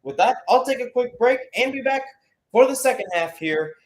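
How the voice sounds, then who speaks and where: A man's voice: extremely high pitch, expressive, moderate pace. A man giving live play-by-play football commentary.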